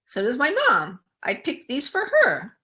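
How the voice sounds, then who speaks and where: A woman's voice: fairly high pitch, huge pitch swings, measured pace, sharp tone, pitched above the performer's own voice, full character voice. A woman voicing an earnest, literal-minded little girl in a children's storybook, innocent and matter-of-fact.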